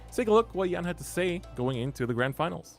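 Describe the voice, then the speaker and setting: A man's voice: high-pitched, lively intonation, quick pace, creaky, over loud music. A man co-hosting a live esports bracket draw, commentating and musing aloud with small hesitations. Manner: thinking aloud, hesitant fillers. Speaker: esports caster.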